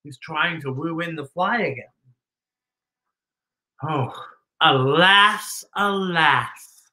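A man hosts a children's storytime with campy theatrical flair. His voice is high and bright, and he stretches and exclaims his words in a roomy-sounding space. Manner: campy, drawn-out, exclaiming dramatic delivery. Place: decorated indoor storytime set.

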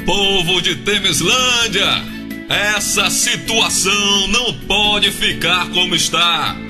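Slow, pompous Brazilian politician. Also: male voice, sits high, over music. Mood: rousing. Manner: oratorical, emphatic. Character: pompous male small-town politician preaching progress. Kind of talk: comic radio soap acting.

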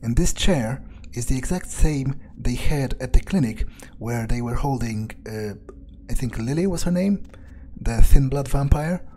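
A man's voice: medium pitch, expressive, unhurried, mellow, a little hiss or hum. A man improvising in character while playing a game, thinking aloud in a hesitant, casually profane, rambling way. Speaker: let's player.

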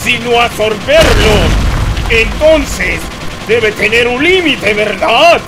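Guy doing a defiant latin american anime hero, high voice, slow dramatic battle lines, music behind. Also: animated, exaggerated voice. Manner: dramatic, drawn-out battle shouting. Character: mighty, defiant male superhero in battle. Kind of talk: anime fan dub acting.

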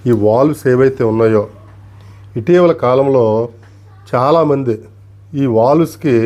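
A man giving an unscripted demonstration, explaining deliberately and politely in short, broken phrases. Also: medium pitch, warm tone. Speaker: antique radio collector and presenter.

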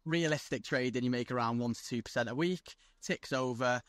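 A man's voice: medium pitch, fairly quick, no room sound, clean studio sound. A young man from North Wales, a guest on a video call from outdoors, talking bluntly and chattily about his trade. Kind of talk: casual video-call interview. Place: outdoors on a lawn by the coast.

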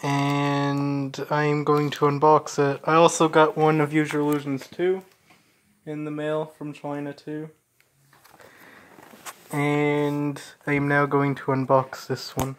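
Low-voiced woman, very slow, casual unboxing narration. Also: completely flat delivery. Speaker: unboxing video maker.

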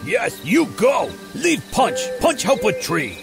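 A man's voice: high voice, wildly swooping pitch, slow, creaky, loud music behind the voice, heavily acted. An actor playing a big, dim, childlike mutant brute who loves cooking and speaks in blunt, broken caveman grammar in an audio drama. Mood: simple, grumbling.

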